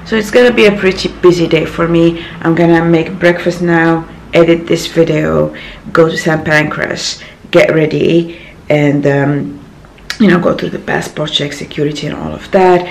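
A woman in her thirties, croaky from little sleep, chatting casually to a handheld camera in her small apartment, rambling with many fillers. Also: sits low, steady tone, moderate pace, slight background noise. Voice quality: gravelly. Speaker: vlogger. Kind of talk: casual vlog talk to camera. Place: small apartment bedroom, indoors. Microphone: handheld camera at arm's length.

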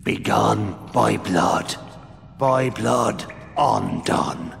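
A gravelly pirate captain declaims slowly in a cavernous reverb. He is menacing, grand and commanding, a middle-aged villain from a video game, with faint music behind. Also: lowish voice, fairly bright tone, exaggerated voice. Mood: grand, ominous. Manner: grandiose, archaic phrasing, declaiming. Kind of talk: dramatic video game voice acting.

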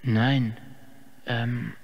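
A man's voice: mid-range voice, little pitch movement, very slow, vocal fry, no room sound, muffled recording, exaggerated voice. An actor playing a shy, deferential male attendant in a radio drama, hesitant and polite. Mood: nervous, hesitant. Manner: hesitant, polite, soft-spoken.

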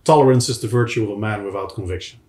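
A man's voice: lowish voice, very fast, darkish tone. A man in his thirties with a Dutch accent on a couch, giving short, dry, understated answers in a casual chat. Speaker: co-host answering viewer questions. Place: indoor room, on a couch. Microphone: lapel mic. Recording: echoey room.

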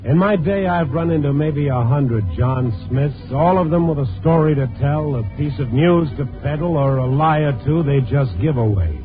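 An actor playing a wry, sympathetic newspaper reporter, narrating a 1950s radio drama in a measured way. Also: male voice, fairly high pitch, lightly acted. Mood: wry, reflective. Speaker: lead and narrator. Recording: muffled 1950s radio recording with music.

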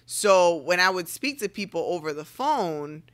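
A young woman speaking casually and assertively as a podcast guest, choosing her words deliberately. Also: lowish voice, animated, a bit bright. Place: living room couch, indoors. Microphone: podcast microphone on boom arm.

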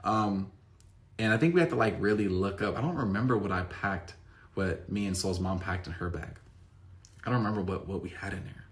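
A man in his thirties chatting casually to camera, answering viewer questions with lots of fillers and restarts, recorded close on a phone in a bright room at home. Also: low-pitched, brisk. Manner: rambling, restarts often, many "like" and "um" fillers.

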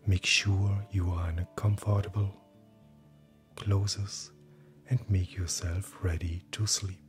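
A man speaking as a hypnotherapist, guiding sleep hypnosis in a calm, gentle, breathy voice that often nears a whisper. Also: deep voice, slow pace. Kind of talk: guided hypnosis, soothing scripted narration. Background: soft background music.

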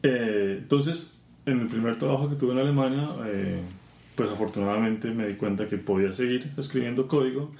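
A Colombian man guest talking casually about his work in software testing, relaxed with many fillers. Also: medium pitch, moderate pace. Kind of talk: casual interview. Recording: roomy conference venue.

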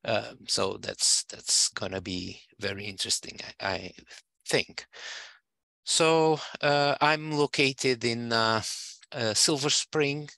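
A middle-aged man from Portugal, a co-op consultancy CEO, introducing himself on a video call through a headset, hesitant with many pauses. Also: mid-range voice, vocal fry, bright, forceful tone, no echo.

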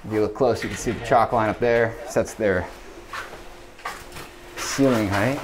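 A man's voice: on the low side, unhurried, noisy background. A man walking through a renovation site with a head-mounted camera, casually explaining the construction details he points out. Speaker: builder giving a site tour. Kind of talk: walkthrough explanation on a job site. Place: indoor renovation site, bare rooms. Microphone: head-mounted action camera.

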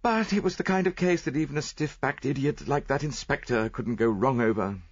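A man voicing an earnest, impatient, easily baffled British gentleman, eager and exclaiming, in an audiobook mystery. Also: medium pitch, a little fast, slightly rough, dry recording, highs cut off, voice pushed up. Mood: puzzled.

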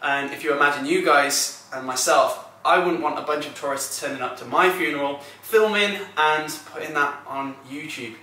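A man's voice: on the high side, normal speed, few pauses, sharp tone, slight background noise, poor audio. A young man talking casually to viewers in a travel vlog, filmed at arm's length on a camera mic. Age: young adult. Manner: chatty, upbeat, addresses viewers directly. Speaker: travel vlogger. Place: outdoors by a river and in a bedroom. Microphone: camera mic, selfie at arm's length.

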